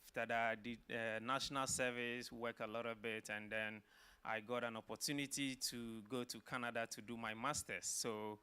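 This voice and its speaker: A middle-aged man from Ghana, a professor answering interview questions in a measured, explanatory way with some filler uhs. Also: mid-range voice, steady tone, pushed and bright, clean tone. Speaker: guest, social work professor.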